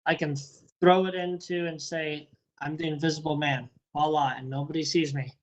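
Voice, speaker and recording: A man's voice: fairly high pitch, level tone, normal speed, poor audio. A man answering interview questions casually, friendly and rambling, repeating and restarting his words, talking over a video call. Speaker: interviewee, first responder and dog handler.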